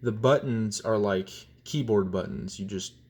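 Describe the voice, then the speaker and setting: A man's voice: on the low side, a little slow, darkish tone, husky. A man giving a casual show-and-tell review as a hobbyist, in a rambling, hesitant way, recorded on a phone. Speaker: hobbyist collector presenting items. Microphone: phone, handheld or propped nearby.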